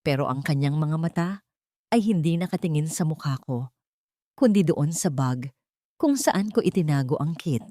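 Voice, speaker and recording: A woman narrating a scripted confession story in the first person, with solemn, emotive, deliberate phrasing. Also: low voice, lively intonation, mellow, no room sound, studio quality.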